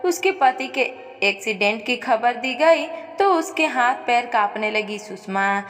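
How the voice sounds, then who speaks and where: A woman's voice: fairly high pitch, lively intonation, a little slow, a bit bright, faint music behind. A woman narrating a dramatic scripted story in a melodramatic manner, with long reverb on her voice. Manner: melodramatic, reads speaker names before dialogue.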